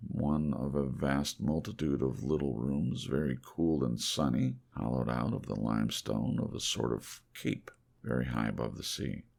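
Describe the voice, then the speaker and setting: A man reading classic fiction as an ASMR audiobook, with a gravelly voice and measured, dramatic storytelling. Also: very deep voice, darkish tone. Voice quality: gravelly, creaky. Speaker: audiobook reader.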